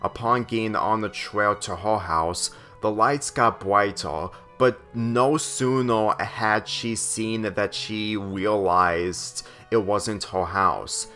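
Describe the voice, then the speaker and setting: A man narrating a written story aloud in a slightly halting way. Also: lowish voice, sharp tone, soft background music. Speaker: fan fiction reader.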